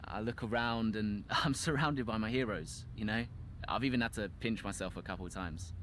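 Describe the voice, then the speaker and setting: An actor playing an earnest, modest young rookie racing driver with a hesitant way of answering. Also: male voice, fairly low pitch, fairly bright tone, a little hiss or hum, close to an everyday voice. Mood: awed, modest. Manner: hesitant, halting interview answers.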